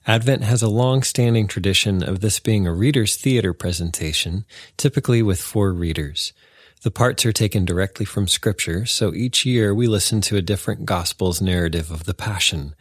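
A man's voice: sits low, husky, no room sound, studio quality. A man, a pastor, giving a spoken introduction to a recorded scripture reading for his church.

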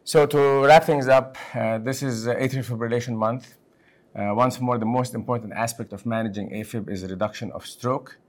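A man from Lebanon hosting a conversational medical podcast as a physician, speaking in halting, emphatic bursts. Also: medium pitch, fairly even intonation. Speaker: podcast host, physician and section head.

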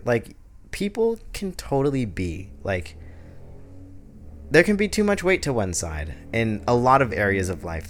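A young man in his twenties talks through his thoughts on a solo podcast. His voice sits a little low, and he rambles, circles back and fills pauses with "like" and "um." The delivery is loose, casual chat. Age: 20s. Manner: rambling, heavy use of "like", restarts. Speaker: podcast host.

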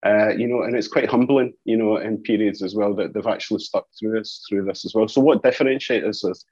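A man's voice: lowish voice, a little fast, a little mellow, breathy edge. A man with a Scottish accent giving an informal business interview, often padding sentences with "you know" and "as well". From Scotland. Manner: repeats "you know" and "as well" as verbal tics. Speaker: company executive being interviewed. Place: office, on a video call. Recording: muffled, roomy video-call audio.

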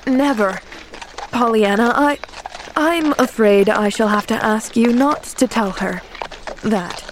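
A woman voicing a grave, gentle male doctor in a storybook, speaking in a quiet, hesitant, courteous way. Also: a little high, slow pace, slight background noise, poor audio. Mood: low, hesitant.

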